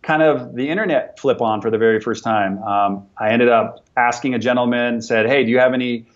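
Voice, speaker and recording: A man in his forties, a business owner talking as an interview guest in an energetic, motivational way, often repeating words as he starts sentences. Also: medium pitch, a little fast, lo-fi sound. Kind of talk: conversational interview.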